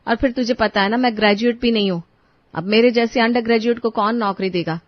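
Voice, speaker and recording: A woman voicing a worried middle-class mother in an animated story, talking in an anxious, fretful way. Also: on the high side, fairly quick, no room sound, lightly acted. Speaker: voice actor. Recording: dull, dry studio recording.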